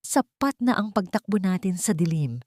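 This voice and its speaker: A woman narrator voicing a gentle, forgiving young village woman in an audio story, softly and earnestly. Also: medium pitch, lively intonation, normal speed, no room sound, lightly acted. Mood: tender, forgiving. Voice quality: soft.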